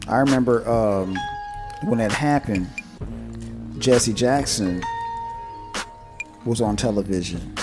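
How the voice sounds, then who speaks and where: A man's voice: on the low side, slow, long pauses, over music. A man co-hosting a podcast in casual, unscripted chat, colloquial and often repeating his phrases. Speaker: podcast co-host.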